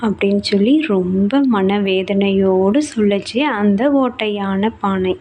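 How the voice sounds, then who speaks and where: A woman's voice: medium pitch, little pitch movement, a little slow, darkish tone, a little hiss or hum. A woman narrating a bedtime story for children as a storyteller.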